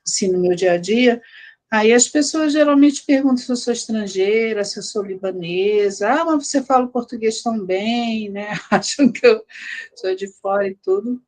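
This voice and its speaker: Higher-voiced middle-aged woman from Brazil. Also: darkish tone. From Brazil, born in Rio, grew up in Belém, Pará. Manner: halting, repeats words, frequent tag questions. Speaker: guest academic, history and anthropology researcher. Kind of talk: conversational personal reminiscence.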